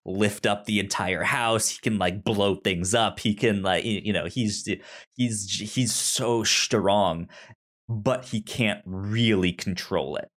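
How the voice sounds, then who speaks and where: Slow, halting man discussing films. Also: on the low side, fairly bright tone. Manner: halting, repeats and searches for words. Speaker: podcast co-host. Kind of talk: casual film discussion.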